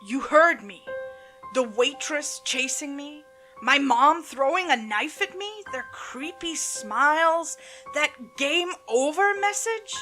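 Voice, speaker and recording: A woman's voice: high-pitched, lively intonation, takes it slowly, voice pushed up, exaggerated voice. A woman playing an angry, distressed young man who demands answers in a horror story reading, with music behind. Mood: frantic, accusing. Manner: forceful, accusing.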